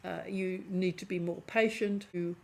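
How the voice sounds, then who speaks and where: Hoarse woman giving a tarot reading. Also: fairly low pitch, fairly even intonation, unhurried, slight background noise. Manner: warm, chatty, keeps clearing her throat. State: hoarse, losing her voice. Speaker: tarot reader. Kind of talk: intuitive tarot reading to camera.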